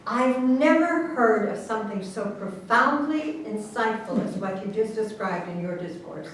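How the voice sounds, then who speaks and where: A woman playing an imperious, self-important professor and government official in a staged satirical reading, speaking in a formal, lecturing manner. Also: fairly high pitch. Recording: echoey room, distant mic, faint noise.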